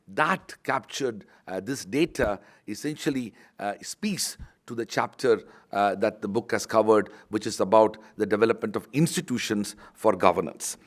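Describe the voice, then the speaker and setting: A middle-aged man with an Indian English accent, a Tamil native speaker, giving a formal speech at a book launch as a university vice chancellor, with measured academic phrasing and frequent pauses. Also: lowish voice.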